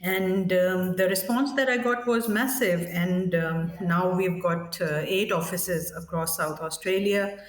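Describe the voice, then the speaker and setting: A woman's voice: on the low side, unhurried, echoey room, far from the mic. A woman in her thirties from Pakistan, a guest on a podcast interview, talking with many fillers and restarts. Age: 30s.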